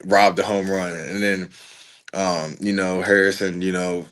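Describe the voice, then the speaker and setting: Deep-voiced young man, rambling casual chat. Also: a little slow. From Richmond, Virginia. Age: 20s. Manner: relaxed, rambling, many "you know" fillers. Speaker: college baseball pitcher, guest.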